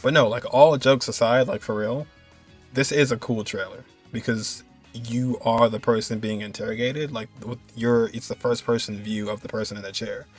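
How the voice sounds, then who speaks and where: A man's voice: medium pitch, fairly even intonation, moderate pace, slightly rough, faint music behind. A man giving casual, rambling, enthusiastic reaction commentary as a video host. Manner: rambling, enthusiastic, repeats himself.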